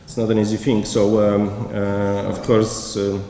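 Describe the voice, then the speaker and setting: Halting man in an echoey hall. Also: lowish voice, completely flat delivery, mellow. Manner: halting, hesitant, with many pauses. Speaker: curator. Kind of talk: conference lecture reading a prepared paper. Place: lecture hall. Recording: echoey hall, faint background noise.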